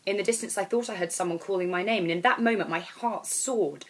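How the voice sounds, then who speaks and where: A woman reading aloud as an author, in expressive storybook narration. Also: mid-range voice, brisk. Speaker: author reading own novel.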